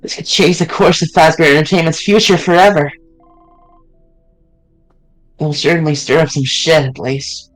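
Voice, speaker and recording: A man's voice: fairly high pitch, fairly even intonation, moderate pace, long pauses, a bit bright, faint music behind, natural voice, rough recording. A man voicing a loyal young male friend character while reading a fan visual novel aloud on stream. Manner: casual, blunt delivery with mild swearing.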